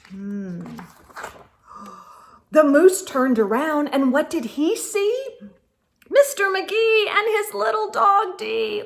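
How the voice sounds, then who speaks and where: A woman reading a children's picture book aloud as a storytime host, with theatrical, suspenseful delivery and little asides. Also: a little high. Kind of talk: expressive children's read-aloud narration.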